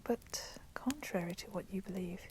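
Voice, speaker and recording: A woman voicing a proud, resentful female vampire in a whispered ASMR roleplay, speaking softly and deliberately in formal, menacing phrases. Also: lowish voice, animated, natural voice. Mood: bitter, proud. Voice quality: soft, breathy whispering. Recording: constant crackling fire noise behind voice. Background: crackling fire.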